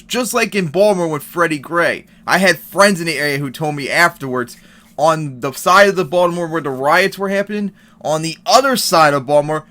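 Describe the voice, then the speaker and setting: A man's voice: fairly high pitch, fairly bright tone. A man giving heated, profane commentary on an article and news clips, reading passages aloud and reacting. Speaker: commentary vlogger.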